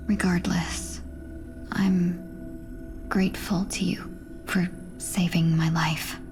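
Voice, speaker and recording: Very slow, frail wounded merchant. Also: female voice, on the low side, little pitch movement, whispered. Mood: dazed, weak. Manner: hesitant, weak, breathy sighs. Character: frail, polite young merchant woman, gravely wounded. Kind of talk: dramatic video game cutscene dialogue. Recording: music under the voice.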